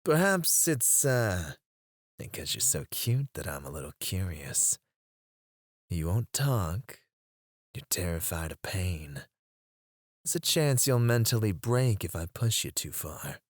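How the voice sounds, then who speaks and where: A man playing a menacing, teasing kidnapper in an ASMR roleplay, drawling his taunts and dropping into hushed murmurs close to the microphone. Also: low-pitched, very animated, slow, dry recording, exaggerated voice. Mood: sultry, threatening. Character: menacing, teasing male kidnapper interrogating a captive. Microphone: close studio microphone.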